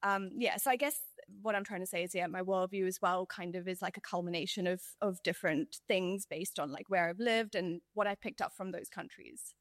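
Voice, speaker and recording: A woman's voice: lowish voice, fairly quick, hardly any pauses, dry recording, studio quality. A woman with a mixed, hard-to-place accent, talking in a reflective, rambling way with many fillers and restarts as an interview guest. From India. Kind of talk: casual interview chat.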